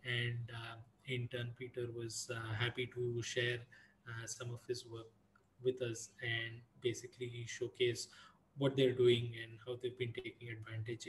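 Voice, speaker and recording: Male, call host, informal, hesitant phrasing, even pitch, background noise on online call. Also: unhurried, poor audio. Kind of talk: casual, informal meeting hosting.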